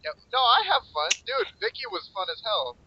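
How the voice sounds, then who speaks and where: A young man hosting a gaming roleplay voice chat, animated and swearing freely. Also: very high-pitched, brisk, vocal fry, slightly rough, dry recording. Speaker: roleplay server host. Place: online voice call. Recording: lo-fi voice chat audio.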